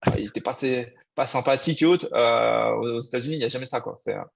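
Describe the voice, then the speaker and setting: A man from France talks quickly as a panelist on a webinar, rambling through his points with fillers. His voice is mid-pitched and comes through dull and lo-fi. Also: gravelly.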